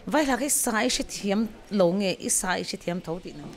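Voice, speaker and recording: A woman's voice: mid-range voice, lively intonation, moderate pace. A middle-aged woman, chatting casually as she interviews contestants on a handheld microphone. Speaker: show host. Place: indoor lounge.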